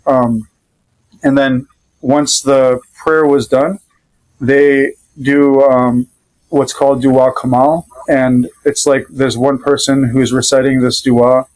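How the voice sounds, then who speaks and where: A man from Rhode Island with a Rhode Island accent, a father and podcast guest, telling a story in an easygoing, loosely rambling way with many pauses. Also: medium pitch, flat delivery, a little mellow, low-quality recording. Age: adult father. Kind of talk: casual conversational storytelling.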